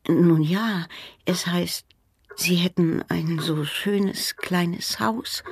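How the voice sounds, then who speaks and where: An actress playing a frail, gentle, dying elderly mother in a radio drama, with a weak, breathy voice and a wistful, pleading manner. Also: on the low side, drawn-out words, dry recording, full character voice.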